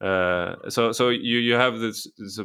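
A man from Sweden speaks as a guest in a relaxed conversation. His voice sits low, and he stumbles through restarts and fillers as he works out each point. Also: clear, resonant tone. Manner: halting, many fillers and restarts. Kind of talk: casual conversation.

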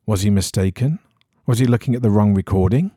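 A man reading an eerie horror story in a measured, suspenseful storytelling manner. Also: lowish voice, mellow, slightly rough, no room sound, studio quality. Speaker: story reader.

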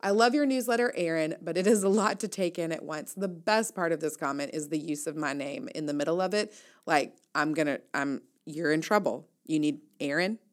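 A woman's voice: sits low, fairly quick. A woman hosting an audio newsletter, reading her casual commentary aloud in a wry, playful, conversational way.

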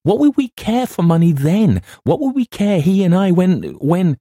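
A man reading aloud as a secretive, grandiose young gentleman who turns feverish. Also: medium pitch, expressive, a little fast, no room sound, clean studio sound, voice pushed up, close to an everyday voice. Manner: shifts from guarded to feverish outbursts.

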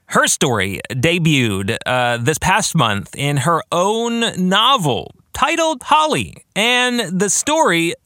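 A man hosting a podcast solo, speaking in an enthusiastic, deliberate, emphatic way with casual asides. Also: on the high side, pushed and bright, no echo, clean studio sound. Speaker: podcast host and novelist. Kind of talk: scripted-sounding podcast monologue with casual asides.

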